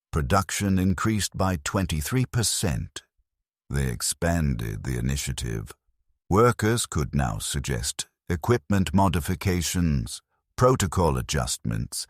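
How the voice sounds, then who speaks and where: A man narrating a scripted, atmospheric story in a somber, grave, gravelly voice. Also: a bass voice, dry recording, studio quality.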